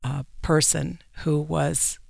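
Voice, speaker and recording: Very creaky, low-voiced older woman. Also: level tone, takes it slowly, darkish tone, no echo, clean studio sound. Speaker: magazine editor, interview guest. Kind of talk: conversational radio interview.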